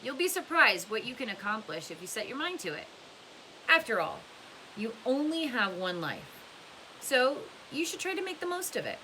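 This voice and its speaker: Woman reading as an earnest, preachy male camp counselor, bright voice. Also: fairly high pitch, big pitch swings, moderate pace, pitched above the performer's own voice, rough recording. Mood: earnest, encouraging. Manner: lecturing, pointed. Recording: clear background hiss.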